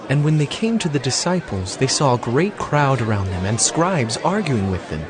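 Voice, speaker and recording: A man's voice: medium pitch, very animated, normal speed, music in the background, crowd noise. A man narrating scripture from a script as the storyteller of a dramatized audio reading.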